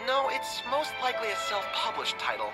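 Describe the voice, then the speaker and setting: An earnest, animated struggling writer. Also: male voice, extremely high pitch, fairly quick, loud music behind the voice, full character voice. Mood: insistent. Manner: fussy, pleading. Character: anxious, earnest struggling male writer, stubbornly hopeful. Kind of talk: video game voice acting.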